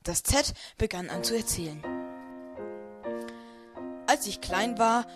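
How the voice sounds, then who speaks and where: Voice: mid-range voice, expressive, slow pace, over music. A narrator reading a scripted comic audio play in a storytelling style. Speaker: narrator and main character.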